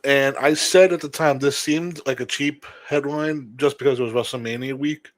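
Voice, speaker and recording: A man's voice: mid-range voice, fairly even intonation, moderate pace, no room sound. A man co-hosting a podcast, giving casual, opinionated commentary on wrestling. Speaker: podcast co-host.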